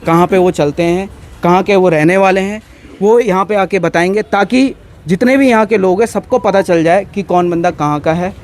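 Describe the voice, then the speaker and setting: A man's voice: high voice, moderate pace, a little hiss or hum. A man from Uttarakhand speaking as a union organiser, rallying a group in an emphatic, insistent way and repeating his phrases. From Uttarakhand, India. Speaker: union organiser addressing members. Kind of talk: impromptu rallying speech to a group.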